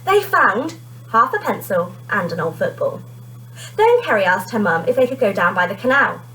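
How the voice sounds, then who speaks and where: A woman teacher reading a children's storybook aloud in an expressive narrating style. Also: medium pitch, normal speed, a little hiss or hum, low-quality recording.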